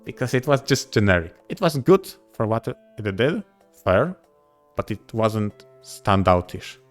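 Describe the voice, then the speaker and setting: A man talking casually as a podcast co-host, a little halting, with emphatic repeated phrasing. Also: on the low side, very animated, soft background music.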